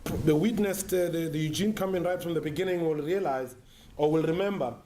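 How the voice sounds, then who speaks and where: A South African man with a fairly high voice delivers a business presentation in an echoing room. He speaks in measured, emphatic short phrases, with a little noise in the recording. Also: warm tone. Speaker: marketing executive giving a conference presentation. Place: conference room with an audience. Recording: roomy, echoing sound with faint noise.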